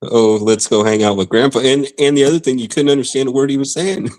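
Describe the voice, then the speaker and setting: A man's voice: medium pitch, steady tone, fast, darkish tone. A man chatting casually as a guest, easygoing, laughing as he tells stories. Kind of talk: casual chat.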